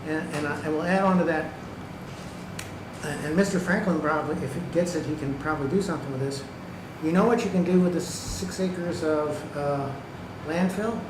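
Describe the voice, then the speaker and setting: Man, public commenter, emphatic, slightly high voice, echoey room, background noise. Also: moderate pace. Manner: emphatic, repeats okay and right to press a point. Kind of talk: public comment at a county meeting. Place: county government meeting room.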